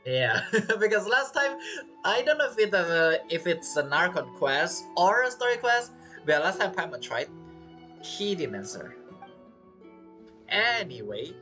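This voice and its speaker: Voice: medium pitch, sing-song, unhurried, pushed and bright. A game streamer giving chatty, reactive commentary over a playthrough. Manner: chatty, reactive, often laughing. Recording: game music behind the voice.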